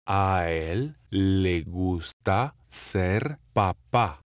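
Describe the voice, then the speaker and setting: Slow, deliberate low-voiced man. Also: animated, no room sound. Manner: repeats each phrase slowly and clearly. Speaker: language lesson reader. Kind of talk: slow, carefully enunciated drill reading. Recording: dry, close studio voice-over.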